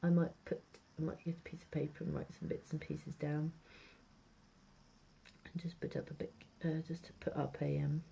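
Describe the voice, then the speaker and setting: A British woman with a fairly low voice talks casually through a food diary at home. She rambles hesitantly, trailing off and circling back over her words. It is an amateur recording with noticeable background noise. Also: level tone, moderate pace, gravelly, warm tone. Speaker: vlogger. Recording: noisy amateur home video.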